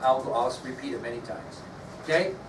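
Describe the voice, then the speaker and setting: A man's voice: mid-range voice, normal speed. An American man lecturing as a history teacher, explaining things to his class and repeating key phrases for emphasis. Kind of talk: classroom lecture with questions to students. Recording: echoey room, distant mic, background noise.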